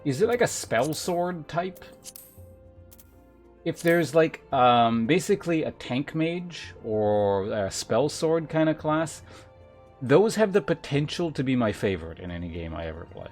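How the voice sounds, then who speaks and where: A man's voice: fairly high pitch, sing-song, a little slow, darkish tone. Rambling male game streamer over music. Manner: rambling, thinking aloud. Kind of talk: casual livestream chat, reading game text aloud. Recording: music behind the voice.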